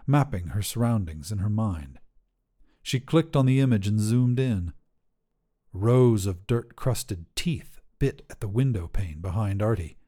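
A man's voice: fairly low pitch, warm tone. A man narrating scripted short fiction as the host of a story podcast.

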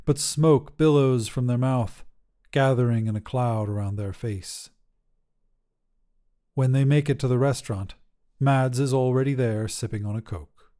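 A male narrator reads scripted short fiction. His mid-pitched voice is dark and relaxed, and he takes his time. The delivery is dramatic, made for an audio story. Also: unhurried.

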